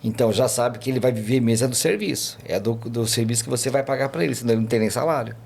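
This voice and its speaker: A man's voice: mid-range voice, very fast, creaky, a little mellow, husky. A man, a podcast guest telling travel stories in a rambling casual way, full of fillers and restarts. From Brazil. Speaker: podcast guest, tour guide. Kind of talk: casual chat, storytelling.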